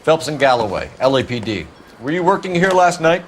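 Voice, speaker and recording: An actor playing a stern young 1940s police detective, formal and probing, in a video game. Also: male voice, on the high side, very animated, fairly quick, a little hiss or hum. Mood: businesslike. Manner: formal, probing, businesslike questioning.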